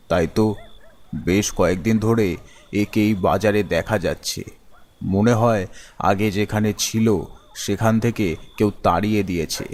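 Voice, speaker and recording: Low-pitched middle-aged village shopkeeper played by a male narrator, kind, worried, slow musing delivery, gentle, animated story. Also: slight background noise, close to an everyday voice. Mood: pondering.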